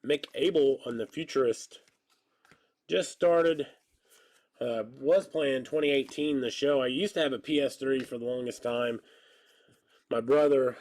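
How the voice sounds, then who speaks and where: A man's voice: mid-range voice, a little mellow. Congested thirty-something man calling card pulls. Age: 30s. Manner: rambling, joking, calls out card pulls. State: stuffy nose, slightly hoarse. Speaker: card break host. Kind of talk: casual live-stream chat while opening cards.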